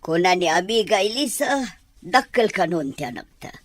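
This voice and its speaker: A woman's voice: fairly low pitch, lively intonation, normal speed, no echo. Worried mother, close dry sound. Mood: resigned, concerned. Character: protective, worrying mother and wife. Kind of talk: radio drama acting.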